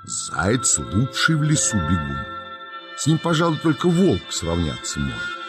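A man's voice: lowish voice, huge pitch swings, measured pace, music in the background. A man narrating a children's nature tale in a folksy, dramatic storytelling style, savouring his words.

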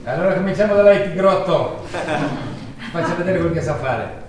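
A man's voice: high voice, animated, moderate pace, slight background noise. A man playing a mocking, bossy recruiter. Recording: distant echoey live stage recording.

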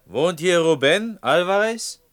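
An actor playing an earnest village potter in a radio play, speaking expressively and pleadingly. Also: male voice, high-pitched, animated, slow, fairly bright tone, exaggerated voice. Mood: earnest request.

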